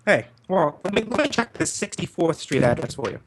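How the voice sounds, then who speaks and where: A man's voice: fairly high pitch, very animated, fairly quick, a little hiss or hum. Man playing a wry, laid-back private eye. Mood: wry, inquisitive. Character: wisecracking, flirtatious male private detective, ex-cop. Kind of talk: 1940s detective radio drama. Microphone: livestream performance.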